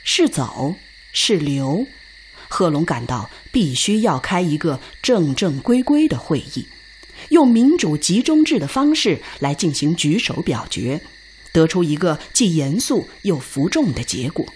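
A woman narrating a radio drama in a solemn, expressive, scripted storytelling style. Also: low-pitched, a little slow, slight background noise.

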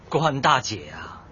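A man's voice: medium pitch, sharp tone, a little hiss or hum, exaggerated voice. An actor playing a smug, whiny young man with an affected, mock-polite drawl in a radio comedy. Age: young adult. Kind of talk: radio drama acting, light comedy. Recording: dull audio with music.

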